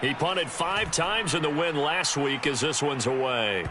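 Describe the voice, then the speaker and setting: A man's voice: fairly high pitch, a little slow, close to an everyday voice. A man with an American accent calling football play-by-play in a polished broadcast delivery. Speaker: play-by-play sports commentator. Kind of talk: American football game commentary. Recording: game audio with music and loud stadium noise.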